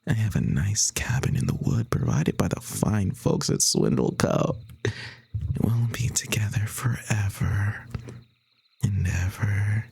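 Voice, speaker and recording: A man voicing a playful, obsessive kidnapper who dotes on his captive, in breathy, half-whispered ASMR roleplay. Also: lowish voice, animated, slow, darkish tone, heavily acted. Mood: teasing menace. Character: obsessive playful male yandere kidnapper, smug and doting.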